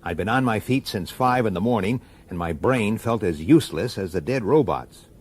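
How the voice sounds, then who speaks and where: A man's voice: on the low side, lively intonation, normal speed, husky. A man acting in a radio drama as a weary, dogged police detective who narrates his own case with an urgent, exasperated manner. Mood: weary, determined. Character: weary, dogged human police detective, sharp-tempered and intense. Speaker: detective and first-person narrator.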